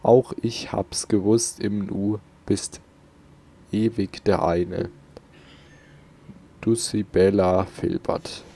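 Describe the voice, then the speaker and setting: Low, gravelly, mellow man commenting on a game. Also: fairly even intonation, very slow, pauses often. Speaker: let's play gamer. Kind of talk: casual gameplay commentary, reading in-game notes aloud.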